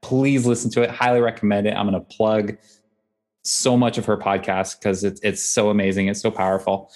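Enthusiastic low-voiced male podcast host. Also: level tone, a little fast, a little mellow. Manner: enthusiastic, effusive praise. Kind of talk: podcast interview.

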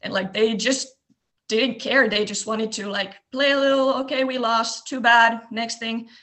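A woman in her twenties from Eastern Finland with a Finnish accent, telling stories as a guest on a podcast, relaxed and full of fillers. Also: on the high side, unhurried. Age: 20s. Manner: relaxed storytelling with many fillers. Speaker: guest, basketball coach. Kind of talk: casual podcast interview.

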